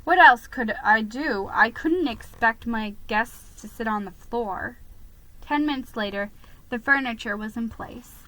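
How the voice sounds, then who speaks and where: A woman giving a dramatic, expressive reading of a first-person children's story. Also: fairly high pitch, unhurried, slight background noise, low-quality recording. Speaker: storybook reader.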